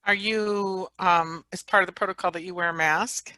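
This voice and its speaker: A woman interviewer asking gentle, attentive questions. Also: on the low side, fairly even intonation, normal speed.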